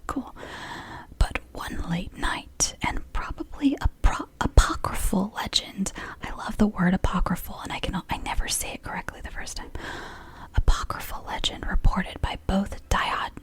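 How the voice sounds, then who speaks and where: A woman's voice: medium pitch, expressive, moderate pace, slightly rough. A woman in her thirties reading aloud in an ASMR whisper, with chatty asides and apologies, her throat sore from whispering. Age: 30s. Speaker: ASMR creator. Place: home.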